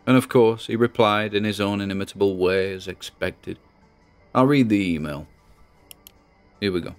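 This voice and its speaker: A man's voice: fairly low pitch, moderate pace, clean tone, faint music behind, natural voice. An actor playing an anxious, haunted man who records a confessional audio diary, hesitant and brooding with a wry edge. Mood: wry, reflective.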